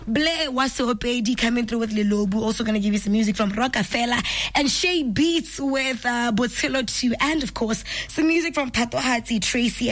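A woman radio host, hoarse and raspy from flu, chatting in a bubbly, motivational way. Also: a little high, a bit bright. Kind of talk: casual radio chat.

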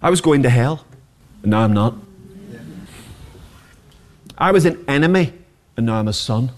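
A man's voice: medium pitch, animated, moderate pace, darkish tone, slight background noise. A man, preaching a sermon as pastor, passionate and rhetorical with humorous asides. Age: 40s.

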